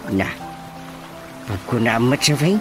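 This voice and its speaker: A Filipino man playing an indignant, scheming village husband in a radio comedy drama, grumbling in a drawn-out, animated way. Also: medium pitch, natural voice. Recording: music bed under the dialogue.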